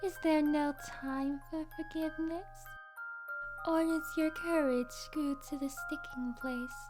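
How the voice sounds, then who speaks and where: A woman's voice: high-pitched, steady tone, a little slow, a little mellow, faint music behind. A woman voicing an uncanny young witch with cryptic, singsong lines. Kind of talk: fan audio drama acting.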